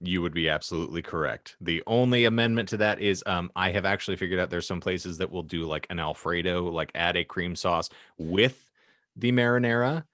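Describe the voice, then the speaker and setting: A man's voice: low-pitched, fairly quick, fairly bright tone, dry recording, studio quality. A man co-hosting a casual panel podcast, talking in wordy, florid turns of phrase. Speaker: podcast co-host.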